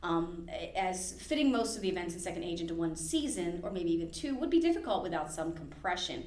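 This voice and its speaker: A woman's voice: on the low side, big pitch swings, a little fast, a little mellow. A woman hosting a library podcast, chatting eagerly about fantasy book lore. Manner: enthusiastic, digs into book lore, fond of asides. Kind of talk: casual chat. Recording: echoey room.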